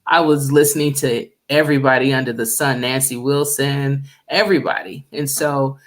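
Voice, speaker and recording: A middle-aged woman singer with a deep, low voice, chatting easily as a radio guest and laughing often. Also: steady tone, normal speed. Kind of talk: casual radio interview chat.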